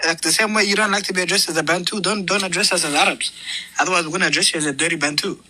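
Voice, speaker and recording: Higher, fast-talking, dismissive man. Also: steady tone, sharp tone, a little hiss or hum. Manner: argumentative, dismissive, repetitive, interrupting. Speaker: debater in an online panel. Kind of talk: heated online argument.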